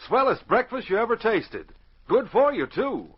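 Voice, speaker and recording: An actor as a hearty, folksy announcer and narrator in 1940s radio style, addressing young listeners. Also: male voice, high voice, very animated, normal speed, slightly rough, exaggerated voice. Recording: muffled, lo-fi 1940s radio recording.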